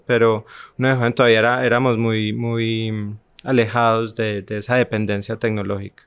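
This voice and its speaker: A man's voice: on the low side, smooth, dry recording. A man in his forties from Armenia, Colombia, reminiscing as a podcast guest with frequent hesitations and restarts. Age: 40s. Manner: hesitant, many restarts and fillers. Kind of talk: conversational interview, reminiscing.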